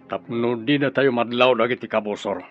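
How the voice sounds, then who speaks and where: A man's voice: medium pitch, normal speed, muffled recording, soft background music, natural voice. A man acting a cautious schemer in an Ilocano radio drama, earnest and insistent, with an Ilocano accent. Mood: cautious, urgent. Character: cautious, scheming man planning a disguised getaway.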